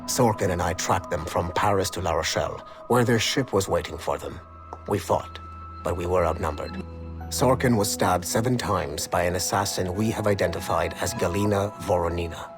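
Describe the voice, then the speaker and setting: An actor playing a stern, businesslike field agent in a game audio log, speaking in a formal, clipped, matter-of-fact way. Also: male voice, low-pitched, moderate pace, lightly acted. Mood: resentful, firm. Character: stern, businesslike male Templar field agent hunting assassins. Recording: music under the voice.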